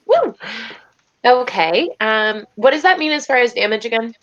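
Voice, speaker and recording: A woman's voice: a little high, animated, normal speed, dry recording, muffled recording. A woman chatting casually as a tabletop game player, playfully thinking aloud. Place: indoors, online voice call.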